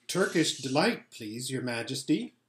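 A meek young boy from a storybook, read by a man in a middle pitch. He is polite and hesitant, speaking slowly in a roomy space. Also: very animated, slight background noise. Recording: roomy indoor home recording.

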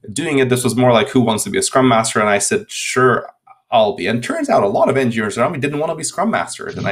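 A man in his thirties from Hungary with a Hungarian accent, answering interview questions over a video call, often repeating words as he restarts sentences. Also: medium pitch. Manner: frequent word repetitions and restarts. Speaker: guest speaker. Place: home room. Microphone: laptop webcam video call.

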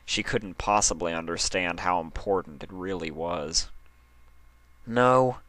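A man's voice: low-pitched, steady tone, moderate pace, fairly bright tone, no room sound. A man reading scripted fiction aloud as the narrator of a story.

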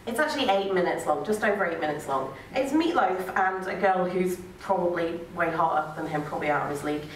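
A woman's voice: fairly low pitch, lively intonation, brisk, distant mic. A young woman telling a comic piece live on stage in a wry, sarcastic manner, with sweary asides. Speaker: spoken-word performer. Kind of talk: comic live storytelling and dramatic reading. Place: on stage in a small dark venue. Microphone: microphone on a stand. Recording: echoey venue from afar, faint noise.

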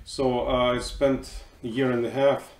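A man in his fifties from Moscow with a Russian accent, giving a thoughtful, halting personal testimonial. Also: medium pitch, level tone, roomy sound. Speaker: musician and teacher. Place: living room, indoors.

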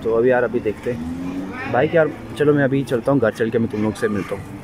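A man's voice: on the low side, a little fast. A young man from Pakistan, chatting casually to viewers as he rides, recorded on a bike-mounted action camera outdoors. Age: young adult. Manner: chatty, talks to viewers while riding. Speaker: vlogger. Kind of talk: casual motovlog chat. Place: outdoors on city streets. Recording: street and traffic noise behind. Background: traffic noise.

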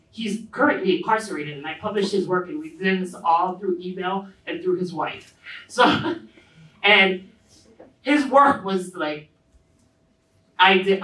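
A woman's voice: on the low side, fairly bright tone. Middle-aged woman in a roomy, echoing space. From Hawaii. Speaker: panelist, self-publishing publisher. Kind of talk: informal panel talk with anecdotes. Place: indoor panel event room. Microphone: handheld microphone. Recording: roomy echo, distant event sound.

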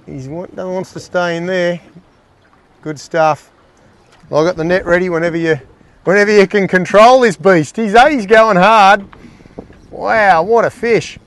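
A middle-aged man hosting a fishing show, giving excited, exclaiming commentary from a small boat. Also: high-pitched, a little slow, pauses often. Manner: enthusiastic, exclaiming, talks to the fish and his mate.

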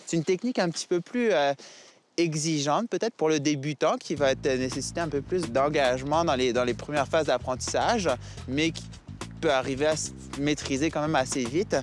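A man with a Québécois French accent explaining cross-country skis and the course with enthusiasm outdoors. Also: on the high side. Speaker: cross-country ski expert. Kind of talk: instructional explanation. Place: snowy park outdoors.

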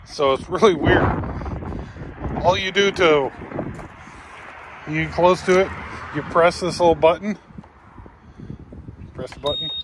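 Midwest guy, middle aged, kinda higher voice, just chatting casually on a vlog, noisy background. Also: a little slow, pauses often. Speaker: vlogger. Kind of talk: casual chat to camera. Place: parked or moving pickup cab, later outdoors on gravel drive. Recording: clear background noise, vehicle and outdoors.

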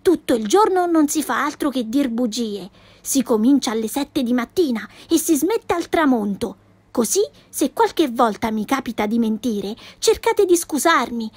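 A woman voicing a cheerful, boastful little girl who tells wild tales, in a lively, exclaiming way. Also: high voice, moderate pace, husky, no echo.

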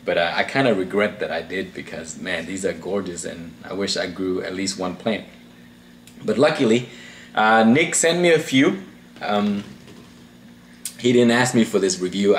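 A man's voice: sits low, a little hiss or hum. A man in his thirties hosting a casual pepper-review vlog, talking easily with lots of ums, uhs and restarts, filmed at a table indoors. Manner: easygoing, rambling with many fillers and restarts. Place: small indoor room at a table.

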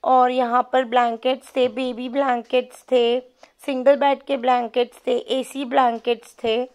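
A woman's voice: high voice, level tone, a little slow, darkish tone. A woman narrates a shopping vlog. She talks in a casual, chatty way and drops English words in freely. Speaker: shopping vlogger.

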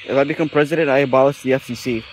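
A man's voice: medium pitch, steady tone, racing, background noise. A man giving casual, off-the-cuff commentary as a radio hobbyist, thinking aloud in a tentative way.

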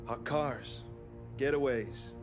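An actor playing a breezy, chummy, car-loving crooked mechanic in a video game cutscene. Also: male voice, medium pitch, slow, natural voice. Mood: breezy, eager. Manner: casual, chummy. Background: music.

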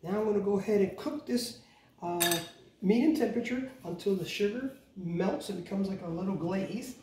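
A middle-aged man hosting a home cooking show, talking through each step in a relaxed, instructive way and often correcting himself. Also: high-pitched. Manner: relaxed, instructive, self-correcting, repeats "go ahead and". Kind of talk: casual step-by-step cooking demonstration. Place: large bright home kitchen, indoors. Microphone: camera mic from across the kitchen. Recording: roomy, distant, noisy kitchen audio.

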